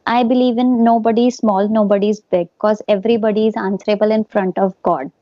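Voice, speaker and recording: A woman English teacher with an Indian accent speaks encouragingly on a video call, slipping into Hindi phrases. Her voice sits at a middle pitch. The call audio is dry and a little muffled. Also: steady tone, fast, dry recording. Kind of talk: casual video call conversation.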